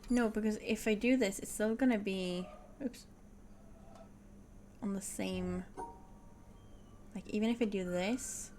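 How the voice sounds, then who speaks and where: A woman's voice: medium pitch, steady tone, a little slow, long pauses, a little mellow, a little hiss or hum. Swedish woman streamer thinking aloud. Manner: thinking aloud, often drops to a whisper. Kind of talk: casual puzzle game livestream.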